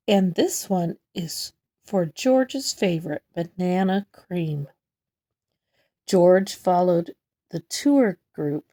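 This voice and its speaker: A woman's voice: mid-range voice, warm tone. A woman reading a children's story aloud, expressively stressing key words for young listeners. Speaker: storybook reader. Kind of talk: children's read-aloud narration.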